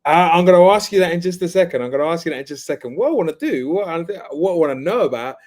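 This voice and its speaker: A man's voice: fairly high pitch, lively intonation, rapid-fire. A man interviewing a guest in casual chat, saying "okay, cool" often and repeating phrases.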